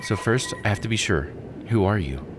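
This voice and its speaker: A man voicing a guarded, secretive female mermaid, reading her game dialogue aloud in a stern, deliberate way. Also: on the low side, animated, background noise, voice pushed up, close to an everyday voice.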